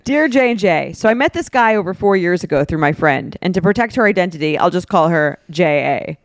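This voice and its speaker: A woman's voice: on the low side, lively intonation, brisk, dry recording. A woman co-hosting a podcast, reading a script aloud with some casual banter. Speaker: podcast co-host.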